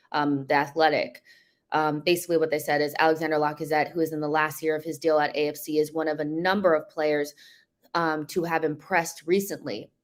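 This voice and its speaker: Chatty low-voiced woman streamer. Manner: chatty, upbeat, many fillers like um and like. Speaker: host. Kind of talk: casual live-stream chat.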